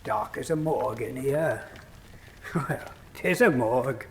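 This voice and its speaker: Slow, grumbling. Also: male voice, mid-range voice, a little hiss or hum. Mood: uneasy, grumbling. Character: uneasy, grumbling working-class morgue visitor. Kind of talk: full-cast radio drama acting.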